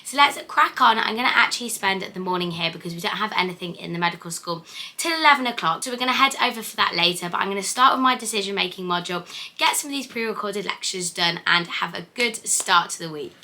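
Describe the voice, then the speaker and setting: A young woman, slightly croaky, chatting upbeat and directly to viewers in a day-in-the-life vlog. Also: medium pitch, fast talker, pushed and bright. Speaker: vlogger and medical student.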